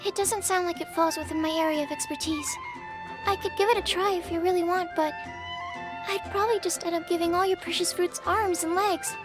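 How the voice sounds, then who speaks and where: A woman's voice: very high-pitched, steady tone, a little fast. A woman voicing a timid, polite young female alchemist who stammers a little, in a game cutscene. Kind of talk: video game cutscene dialogue. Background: game music.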